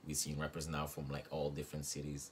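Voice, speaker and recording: A young man from Morocco, a guest rapper, talking casually in an interview with many fillers and soft laughs, in a small room recorded on a close phone camera. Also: a bass voice, completely flat delivery, quick pace, slight background noise. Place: small room with reed-panel wall.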